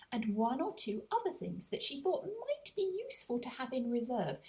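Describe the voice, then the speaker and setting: A woman narrating a scripted storybook as an audiobook, in an expressive storytelling manner. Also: on the high side, low-quality recording. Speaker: author narrating her own audiobook.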